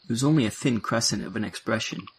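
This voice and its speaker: A man with a gravelly, husky voice reading scripted third-person narration for an audio drama. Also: lowish voice, fast, a little hiss or hum.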